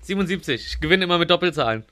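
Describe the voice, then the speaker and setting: A man's voice: on the high side, lively intonation, very fast, pushed and bright. A man co-hosting a podcast in casual banter among friends, telling things in a rambling, stammering way. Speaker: podcast co-host.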